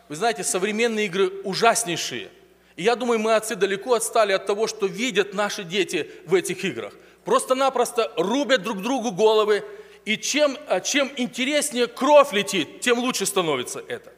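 A man's voice: high voice, fairly bright tone. A man preaching a sermon in a conversational way, with hesitations and questions to the congregation, in a church hall. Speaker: pastor. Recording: long reverb of a church hall.

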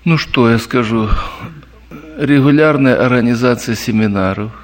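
A man telling stories and answering questions at a church meeting, lively and anecdotal, addressing the listeners as brothers. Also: mid-range voice, moderate pace, slight background noise.

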